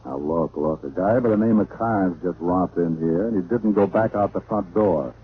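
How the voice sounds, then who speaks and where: Guy playing american hardboiled detective, low voice, glib and charming, old tinny radio show sound. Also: normal speed, close to an everyday voice. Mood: tough, glib. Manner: glib, breezy wisecracks. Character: wisecracking, charming hardboiled male private detective. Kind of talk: 1940s radio detective acting with narration. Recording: thin, noisy vintage radio recording.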